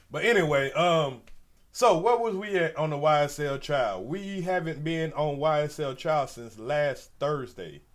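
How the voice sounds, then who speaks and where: Brief: forty-something male livestream host, stuffy nose, loose slangy ramble, slightly high voice. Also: lively intonation, takes it slowly. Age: 40s. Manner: loose, rambling, slangy, talks straight to viewers. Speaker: commentary channel host. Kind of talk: casual livestream commentary.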